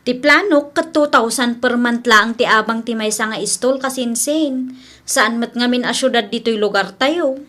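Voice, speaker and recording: An actress with a Filipino accent playing a hardworking wife and trusting cousin in an Ilocano radio drama. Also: female voice, on the high side, normal speed, pushed and bright. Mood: dismayed, protesting.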